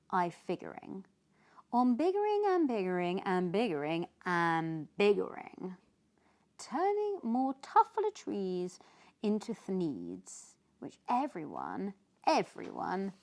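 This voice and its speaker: A woman's voice: mid-range voice, wildly swooping pitch, takes it slowly, full character voice. A woman voicing a defiant, greedy, boastful male industrialist in a children's storybook, stretching and repeating words for emphasis. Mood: angry, defiant. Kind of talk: children's storybook read-aloud.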